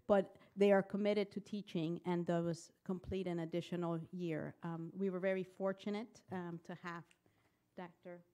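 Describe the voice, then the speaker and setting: A woman's voice: fairly low pitch, little pitch movement, normal speed, warm tone. A woman speaking as a program presenter, introducing new medical residents at a formal public meeting. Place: public board meeting room.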